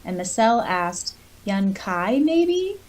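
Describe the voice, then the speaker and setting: A woman's voice: medium pitch, big pitch swings, slow pace, darkish tone. A woman co-hosting a podcast, casually discussing a book and reading out passages from it. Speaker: podcast co-host.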